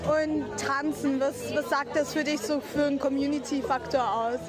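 High-voiced woman interviewer over loud music. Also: level tone, normal speed, a loud crowd. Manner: brief, direct questions. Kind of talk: casual interview questions.

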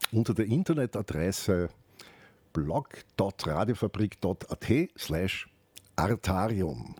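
A man hosting a radio show, explaining things off the cuff in a halting, reflective way with asides. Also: sits low, warm tone, husky, dry recording. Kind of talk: unscripted spoken radio commentary with explanations.

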